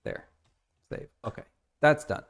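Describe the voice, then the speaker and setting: An American man streaming his game development live, thinking aloud and reading lines of code as he types. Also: measured pace. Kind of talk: casual live coding stream.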